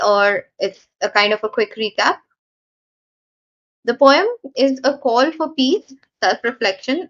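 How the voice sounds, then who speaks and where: Female teacher, higher pitch, patient and repetitive explainer; dull, muffled recording. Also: fairly bright tone. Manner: patient, repeats points, frequent "okay" fillers. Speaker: English teacher. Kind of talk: explaining a poem for exam students.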